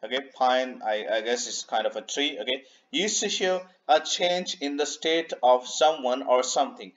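A man teaching an English grammar lesson over slides, unhurried, repeating himself and filling pauses with "okay" and "uh". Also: medium pitch, animated, fairly bright tone, dull audio. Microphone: voice-over on a screen recording.

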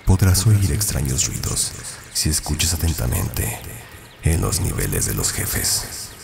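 A man narrating a scripted creepy countdown in an ominous, deliberate way. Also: very deep voice, very animated, creaky, background noise. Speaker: narrator of a video game countdown.

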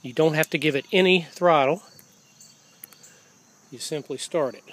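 A man's voice: on the high side, normal speed. A man casually talking through his motorcycle outdoors, explaining details as he films with a handheld camera. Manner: relaxed, plain-spoken, explaining as he goes. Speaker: motorcycle owner. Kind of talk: casual walkaround narration. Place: outdoors beside a parked motorcycle. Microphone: handheld camera or phone.

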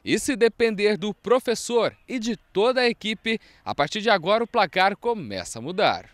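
A man's voice: sits high, normal speed, no echo. A male field reporter reading a scripted sports voice-over. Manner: animated read delivery.

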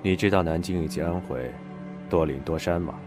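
An actor playing an eerie, ominous coffin seller in a slow, sinister manner in an audio drama. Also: male voice, sits low, music in the background, close to an everyday voice. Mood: ominous calm.

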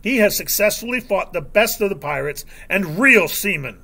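A man voicing a stern pirate captain in a storybook, speaking with precise, measured command. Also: high-pitched, sing-song, pushed and bright, exaggerated voice. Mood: stern warning.

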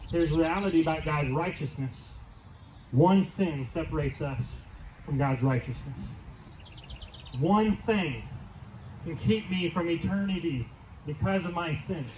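A man's voice: on the high side, darkish tone, a little hiss or hum. Man preaching outdoors over a PA. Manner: conversational preaching, asks the crowd questions, repeats key phrases. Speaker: teaching pastor. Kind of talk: outdoor sermon. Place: church lawn outdoors, congregation under a tent. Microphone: handheld mic through PA speakers, filmed from afar. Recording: distant, roomy outdoor PA sound.

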